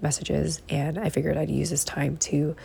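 A woman hosting a solo podcast from home, giving encouraging, conversational tips in a hushed, early-morning voice. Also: low voice, flat delivery, normal speed, gravelly, husky. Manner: encouraging, conversational, repeats phrases for emphasis. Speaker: podcast host. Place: living room at home.

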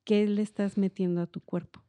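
A woman's voice: medium pitch, level tone, fairly quick, mellow, slight background noise. A middle-aged woman with a Mexican accent, explaining things in a reflective, meandering and informal conversational way. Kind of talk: casual conversational explanation on a podcast.

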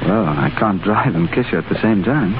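A man's voice: on the low side, a little fast, noisy background, lightly acted. An actor playing a restless, romantic English diplomat in 1930s radio drama, suave and wry in his banter. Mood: wry, flirtatious. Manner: suave, bantering, questioning tags. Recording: tinny 1930s radio broadcast with music.

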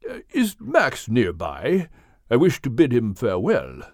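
A kindly old-fashioned gentleman whale with a British accent, performed by a woman for a storybook. The delivery is formal and unhurried, with expressive swells. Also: a bass voice, no room sound, clean studio sound, full character voice. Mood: regretful. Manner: formal, measured, hesitant courtesy.

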